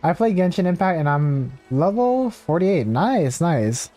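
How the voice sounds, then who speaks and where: A man's voice: a little high, sing-song, a little slow, warm tone. A man livestreaming a game, chatting casually with viewers in a rambling, hesitant way. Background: faint game music.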